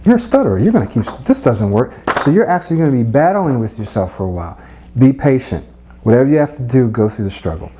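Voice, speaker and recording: A middle-aged man giving a motivational speech-coaching lesson, earnest and repetitive, with a husky voice. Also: medium pitch, big pitch swings, fast talker, dark tone, slight background noise.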